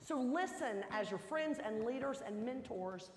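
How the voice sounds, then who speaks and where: A woman hosting an orientation program, giving a welcome speech with deliberate, emphatic delivery in a hall. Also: fairly high pitch, expressive, a little mellow. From Texas resident, not from Texas. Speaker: program host. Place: large indoor auditorium stage. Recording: echoey hall, some background noise.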